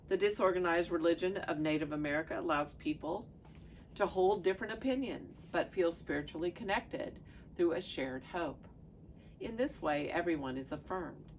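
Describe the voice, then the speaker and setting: Lady pastor reading a devotional out loud, low voice w lots of fry, measured delivery, bit of background noise. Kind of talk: reading aloud from a devotional book.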